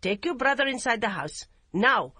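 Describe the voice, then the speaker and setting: A woman's voice: fairly high pitch, expressive, normal speed, sharp tone, breathy edge, no room sound, voice pushed up, full character voice. A woman voicing a stern, domineering older mother-in-law who issues curt commands. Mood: stern commanding.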